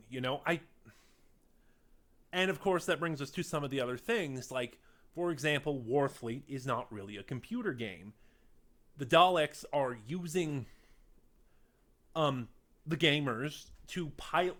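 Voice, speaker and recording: A man with a mid-pitched voice delivers a casual review straight to his viewers. He speaks without a script, halting as he finds his words. He leans on key points and lets his asides trail off more quietly. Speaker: audio drama reviewer on a video channel.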